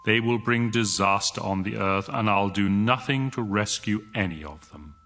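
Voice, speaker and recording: An actor playing a stern God in a scripture audio drama, speaking in a solemn, deliberate, commanding manner. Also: male voice, fairly low pitch, fairly bright tone. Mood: stern, pitiless.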